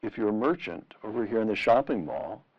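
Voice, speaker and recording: A middle-aged man interviewing in a deliberate, rhetorical and emphatic way, on a lo-fi vintage radio style recording. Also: on the low side, lively intonation. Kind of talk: long-form interview questioning. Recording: dull, lo-fi vintage radio sound.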